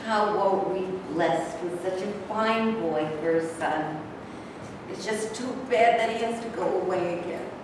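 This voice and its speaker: A woman's voice: fairly low pitch, unhurried, natural voice. A mature woman playing a doting, worried mother with an emotive delivery in a staged play reading. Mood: tearful, worried. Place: large bare indoor room. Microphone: far camera. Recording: echoey hall, distant camera microphone, noisy.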